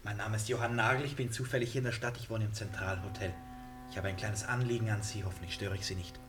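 A man's voice: fairly low pitch, fairly even intonation, fast, background noise, close to an everyday voice. A man acting in a German radio drama as an eccentric, nervous, talkative man, theatrical and swinging between confiding and outbursts. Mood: courteous, eager.

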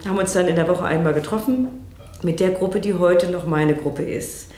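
A woman's voice: lowish voice, moderate pace, dark tone, echoey room. A woman who directs theatre, recounting her work in an interview in a reflective, articulate way.

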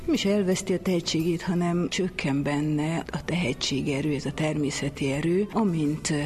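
A man's voice: a little high, fairly even intonation, a little mellow. A man giving reflective remarks in an interview.

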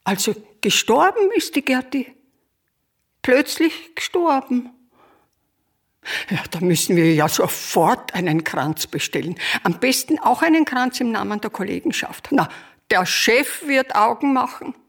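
Gravelly old Viennese lady, muddled. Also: fairly high pitch, big pitch swings, measured pace, heavily acted. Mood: fussing. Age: older. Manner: rambling and muddled, talks past others. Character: confused elderly retired woman, forgetful, fussy. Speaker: mother in a radio play. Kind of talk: broadcast radio drama acting.

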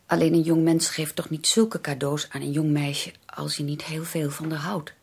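An actress in a Dutch radio drama playing an earnest, anxious young sister who pleads haltingly and emphatically. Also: female voice, low voice, level tone, vocal fry, darkish tone, breathy edge, exaggerated voice. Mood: confiding, sheepish. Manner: halting, emphatic, repeats words for stress.

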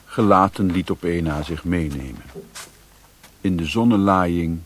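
A man playing the grave narrator of an adventure in a radio drama, with a husky voice. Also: low voice, unhurried, a little hiss or hum. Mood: grave, tense.

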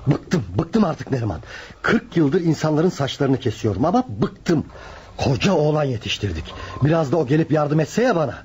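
Grumpy fed-up barber dad, real raspy, swoopy pitch, old radio play. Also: male voice, big pitch swings, normal speed, darkish tone, heavily acted. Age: middle-aged. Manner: complaining, sarcastic, repeating himself. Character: fed-up grumbling middle-aged barber and father. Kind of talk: radio drama acting. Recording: dull lo-fi radio recording.

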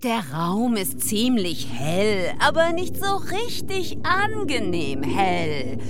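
A woman narrator reading scripted scene descriptions addressed to the listener. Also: sits high.

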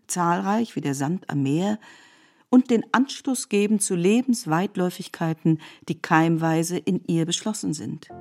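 Lower-voiced woman narrating with measured emphasis. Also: darkish tone. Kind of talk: literary scripted narration in a radio play.

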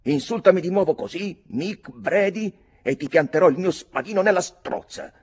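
A man reading an audiobook, voicing a proud, hot-headed fifteen-year-old boy shouting defiance. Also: mid-range voice, expressive, a little slow, husky, pitched above the performer's own voice. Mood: defiant.

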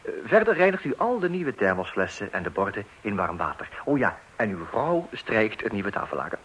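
Methodical, mock-solemn father-narrator, with an animated pitch range. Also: fairly quick, a little hiss or hum, rough recording. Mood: instructive. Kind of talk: Dutch radio play acting.

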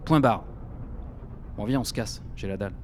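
An actor playing a cynical, restless young conscript in an audio drama, slangy and scornful. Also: male voice, on the low side, moderate pace, a little mellow, slight background noise, natural voice. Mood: fed up.

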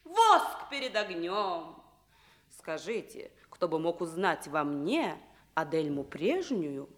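An actress playing a proud, tormented, scheming young noblewoman held as a slave, declaimed in theatrical radio play style with dramatic pauses. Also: on the low side, big pitch swings. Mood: anguished. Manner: declamatory, dramatic pauses, swelling from hushed to fierce. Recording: roomy, echoing radio theatre recording.

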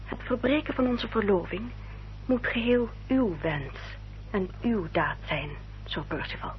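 A woman acting an earnest, dutiful young upper-class lady in a radio drama, speaking formally and with careful, measured diction. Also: a little high, animated. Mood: solemn, composed. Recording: dull, noisy old radio recording with hum.

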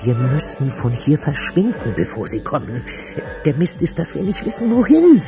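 Earnest protective small man, animated medium-pitched voice, urgent, radio drama, music behind. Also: moderate pace. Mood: grave, urgent. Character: earnest, urgent male dwarf, protective of young woman. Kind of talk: radio crime drama acting. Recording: clear music behind the dialogue.

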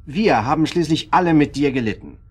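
An actor playing an indignant, stuffy club member squirming defensively in a German radio drama, formal, evasive and hesitant. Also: male voice, fairly high pitch, lively intonation, a little fast, breathy edge. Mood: uneasy.

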